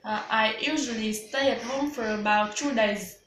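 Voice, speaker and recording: A woman's voice: a little high, normal speed, fairly bright tone, echoey room, a little hiss or hum, rough recording. A woman in her twenties with a Vietnamese accent, practising scripted English dialogue as a student, hesitant with many fillers and restarts. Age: 20s.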